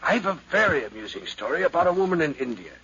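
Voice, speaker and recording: An actor playing an affable, talkative gentleman with a genteel, chatty manner in a 1950s radio drama. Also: a little high, big pitch swings, quick pace. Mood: chatty, amused. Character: affable, talkative gentleman fond of crime stories. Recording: dull, noisy 1950s radio recording.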